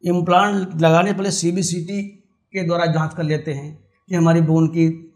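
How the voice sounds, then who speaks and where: A man with an Indian accent and a slightly high voice speaks as an expert guest. He explains his field in conversational interview answers, dropping in technical terms. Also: level tone, normal speed, darkish tone.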